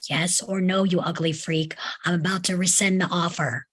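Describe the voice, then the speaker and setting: Female reader as young boy, husky, lowish pitch, cheeky, blunt, script read-through. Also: steady tone, normal speed, a bit bright. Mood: bargaining, snappy.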